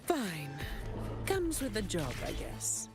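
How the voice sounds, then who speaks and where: A woman's voice: mid-range voice, huge pitch swings, slow, exaggerated voice. An actress voicing a jaded, businesslike young woman fighter in a video game, with dry, curt delivery. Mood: dry, resigned. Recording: loud game music and effects over it.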